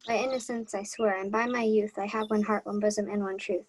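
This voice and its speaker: Earnest young page in disguise played by a woman, courtly, polite, middling pitch, radio Shakespeare. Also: fairly even intonation, fairly quick, slight background noise, lightly acted, rough recording. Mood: earnest, guarded. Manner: formal, courtly. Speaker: student actor. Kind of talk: Shakespeare in 1940s radio play style.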